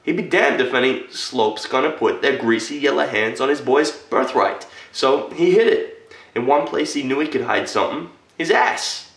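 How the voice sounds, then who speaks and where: A solemn military veteran, played by a man, speaks in a low, creaky voice in an echoey room. He delivers a dramatic film monologue as an earnest storyteller addressing a young boy, pausing deliberately between phrases. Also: little pitch movement, fairly bright tone, distant mic. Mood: indignant.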